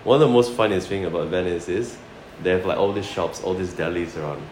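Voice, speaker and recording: A man's voice: sits low, a little fast. A man from Malaysia, a chef, telling anecdotes casually and informally in an interview, repeating phrases as he goes. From Malaysia (Sarawak), later New Zealand. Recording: roomy echo, loud background noise.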